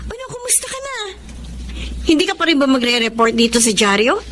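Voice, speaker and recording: Filipino accent, caring encouraging friend, high voice, expressive radio drama, faint background noise. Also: female voice, fairly quick. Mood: bright, encouraging. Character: caring, encouraging female newspaper colleague and friend.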